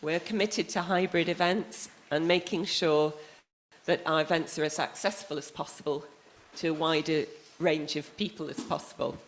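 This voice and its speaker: Low-voiced woman opening a festival. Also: fairly even intonation, moderate pace, a little hiss or hum, poor audio. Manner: warm welcoming announcements with ums. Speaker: festival director. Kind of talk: welcome speech to an audience. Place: theatre before an audience.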